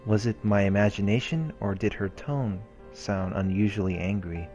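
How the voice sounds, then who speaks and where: Low-voiced man reading story narration over music. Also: moderate pace, a little mellow, highs cut off. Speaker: let's play host. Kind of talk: reading game narration aloud, storytelling delivery. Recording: music under the voice. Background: game background music.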